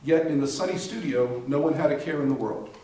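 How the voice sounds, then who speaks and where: A man's voice: fairly high pitch, brisk, warm tone, natural voice. A man playing a grave, knowing doctor and inventor in his thirties in a readers theater monologue. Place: library readers theater performance, distant microphone. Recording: very echoey room, distant mic.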